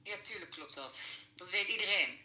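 An actress playing a gruff, wary middle-aged former café landlady in an audio drama, blunt and scornful with folksy turns of phrase. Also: mid-range voice, lively intonation, moderate pace, sharp tone, roomy sound, poor audio. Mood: wary, irritated. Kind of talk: naturalistic audio drama dialogue.

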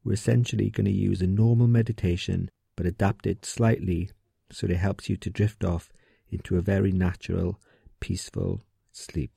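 A man guiding a sleep meditation in a soft, gentle, soothing voice, giving calm instructions slowly and leaving pauses. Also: low voice, creaky, husky, no room sound. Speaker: meditation guide.

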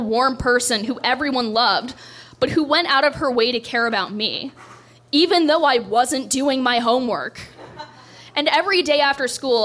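Young woman doing standup, dry self-mocking stories, a bit high voice, stage mic. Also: fairly bright tone. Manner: dry, self-deprecating storytelling. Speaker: stand-up comedian. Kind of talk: stand-up set.

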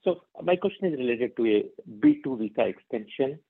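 Husky male caller on a muffled phone line. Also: mid-range voice, animated, brisk. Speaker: caller asking for advice. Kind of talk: explaining his case and asking questions.

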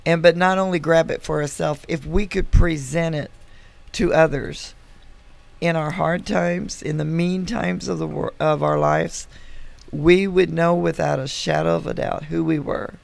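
A woman's voice: deep voice, fairly even intonation, a little slow, darkish tone. A woman hosting a faith podcast with a congested voice, laughing often and turning earnest about belief. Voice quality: gravelly, congested. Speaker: podcast host. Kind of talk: casual podcast chat.

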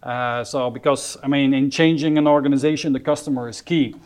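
A man's voice: medium pitch, steady tone, fairly quick, a little mellow. A man hosting a structured business interview, introducing and asking questions in a polite, inquiring manner. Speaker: interview host.

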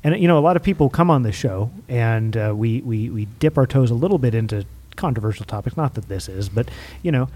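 A man's voice: mid-range voice, animated, fairly quick, few pauses, a little mellow. A man hosting a casual group chat, talking with stammering restarts. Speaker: show host.

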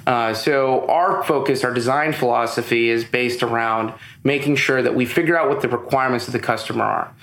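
A man of middle pitch speaking as a podcast guest, explaining things in a loose, rambling way with plenty of "um"s and "you know"s. His voice carries a noticeable roomy echo from being recorded in the same space as the host. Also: steady tone, a little fast, hardly any pauses, fairly bright tone. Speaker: interview guest, business owner. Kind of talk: casual interview chat. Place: room with audible echo, recorded in person.

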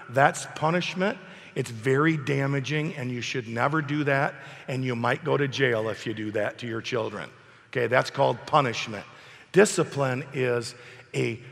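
A man preaching an emphatic sermon while hoarse from a cold, asking his congregation questions. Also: medium pitch, a bit bright, in a large hall. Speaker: pastor. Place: church hall.

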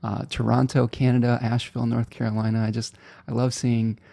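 A man's voice: medium pitch, level tone, brisk, gravelly, warm tone, no room sound. A man hosting a live interview show, upbeat and chatty, with many fillers. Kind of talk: casual interview talk.

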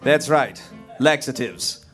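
An actor playing a pompous, bossy American official with a scheme, in a comic audio sketch. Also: male voice, medium pitch, lively intonation, slow pace, a bit bright, faint music behind.

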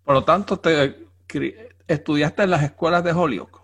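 A man's voice: fairly high pitch, moderate pace, a bit bright. A man hosting a podcast, giving a formal, announcer-like introduction and asking interview questions. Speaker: podcast host.

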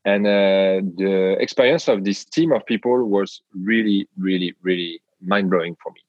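A man with a French accent, a guest on an interview podcast, speaking in a measured way with frequent pauses and hesitations. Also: sits low, darkish tone, dry recording, lo-fi sound. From France. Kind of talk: reflective interview talk.

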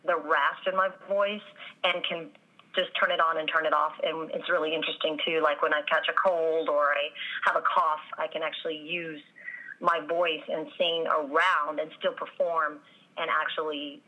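A voice actress answering interview questions, with a slightly raspy voice and many pauses and ums. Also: female voice, sits low, flat delivery, muffled recording. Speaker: voice actress guest.

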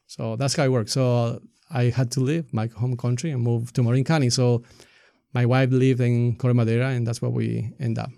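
A middle-aged man with an Ecuadorian Spanish accent speaks in an interview. His delivery is level and unanimated, with a soft, dark tone and a very dry, close sound. He explains his points conversationally. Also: mid-range voice, flat delivery, moderate pace, creaky, no echo. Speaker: podcast guest, community activist and soccer coach.

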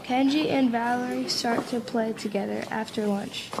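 A woman's voice: on the high side, steady tone, moderate pace, gravelly. A woman reading scripted narration for an amateur radio play, with some noise on the recording. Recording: slight background noise.

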